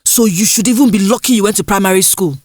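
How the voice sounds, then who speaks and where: A woman storyteller voicing a harsh village mother, scolding and dismissive, full of sharp rhetorical questions in a folktale. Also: mid-range voice, fast, dry recording, studio quality, close to an everyday voice.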